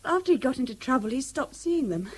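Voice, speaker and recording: High-voiced, anxious English schoolgirl. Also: very animated, quick pace, breathy edge, full character voice. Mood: worried, confiding. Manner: hesitant, confiding, polite. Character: earnest, troubled teenage schoolgirl, loyal and anxious. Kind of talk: British radio drama acting.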